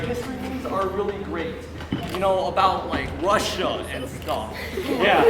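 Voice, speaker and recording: A young man, a student director, giving a casual, jokey, rambling live introduction to a play. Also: sits high. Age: young adult. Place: school stage, recorded from the audience. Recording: loud noise, echoey hall, distant mic.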